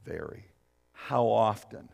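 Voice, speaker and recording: Man, 70s, pastor, gravelly voice, preaching to a congregation, church hall echo. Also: fairly low pitch, slow pace, darkish tone. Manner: reads scripture aloud, asks the congregation questions. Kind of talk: sermon. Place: church sanctuary.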